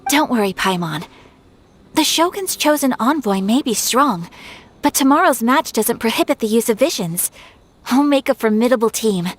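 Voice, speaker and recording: A woman playing a gracious, composed young noblewoman swordfighter in a video game, speaking in a polite, formal, gentle way. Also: a little high, lively intonation, moderate pace, slight background noise, natural voice. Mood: determined. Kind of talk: video game cutscene dialogue.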